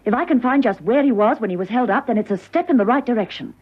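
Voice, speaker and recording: A woman playing a determined, quick-witted lady agent in a radio drama, brisk and insistent. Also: fairly high pitch, slightly rough. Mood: composed, purposeful. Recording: muffled old radio recording, faint noise.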